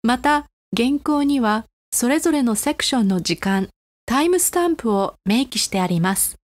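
A woman presents a podcast for language learners from a prepared script. Her voice sits fairly high and she moves along at a fast pace. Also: animated.

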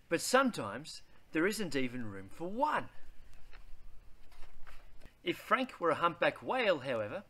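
A man, reading a children's picture book aloud in a deliberate, theatrical way. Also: sits high, pushed and bright. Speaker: read-aloud host.

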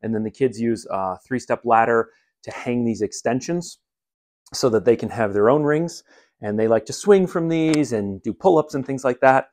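A middle-aged man giving a relaxed, rambling walkthrough of his home garage setup, filmed on a handheld phone, with many fillers. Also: lowish voice. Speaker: home gym vlogger. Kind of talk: casual how-to walkthrough. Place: home garage, then attic. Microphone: handheld phone camera.

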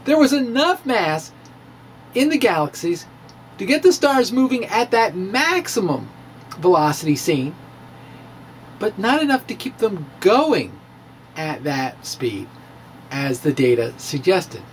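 Guy doing a dramatic science reading, slow w/ big pauses, faint noise behind him. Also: sits high, very animated. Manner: theatrical, drawn-out emphasis with pauses. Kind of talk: theatrical reading of a popular science blog. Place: small room with bookshelves. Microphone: handheld-style microphone on a stand, close in front.

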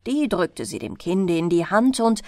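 A woman's voice: lowish voice, measured pace, no room sound, studio quality. A woman reading a bedtime fairy tale expressively as an audiobook narrator. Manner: expressive storytelling.

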